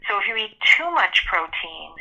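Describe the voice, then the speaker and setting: Very bright-voiced woman on a lo-fi phone interview. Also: mid-range voice, big pitch swings, moderate pace, vocal fry. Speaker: nutrition expert being interviewed. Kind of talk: explanatory interview answers. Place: telephone call. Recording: dull lo-fi telephone interview audio.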